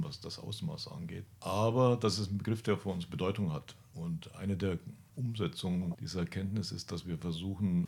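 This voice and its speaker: A man's voice: deep voice, gravelly, darkish tone. A man organizing a large sports event, speaking confidently in a briefing style with English buzzwords mixed in. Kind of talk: panel discussion.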